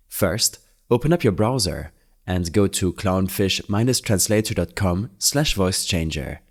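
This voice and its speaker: A man narrating a scripted step-by-step software tutorial in an orderly, plainly worded, instructional manner. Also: deep voice, slightly rough. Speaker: tutorial host.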